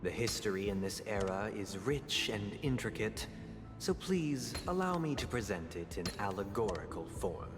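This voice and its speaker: An actor playing a solemn, formal storyteller who narrates a grand allegorical history in a video game. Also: male voice, fairly low pitch, sing-song, moderate pace. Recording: echoey hall reverb under loud music.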